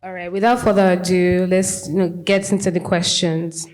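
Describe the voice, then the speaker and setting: A woman moderating a panel discussion, asking questions in a measured way with "you know" as a filler. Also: fairly low pitch, level tone, a little mellow.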